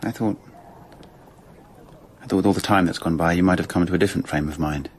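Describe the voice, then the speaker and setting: A man playing an ardent, protective Victorian gentleman in an earnest, impulsive period radio drama style. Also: low voice, steady tone, fast talker, a little hiss or hum, lightly acted. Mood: hesitant, disappointed.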